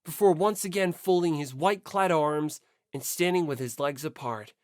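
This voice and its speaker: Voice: medium pitch, normal speed, dry recording, clean studio sound. An audiobook narrator reading a first-person story expressively.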